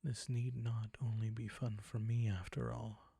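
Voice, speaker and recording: A man playing a predatory, possessive vampire count in an intimate audio roleplay, teasing and commanding in a husky, breathy voice that often nears a whisper. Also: medium pitch, level tone, warm tone, no echo, exaggerated voice. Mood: seductive, possessive. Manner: slow, deliberate, silky teasing, commanding.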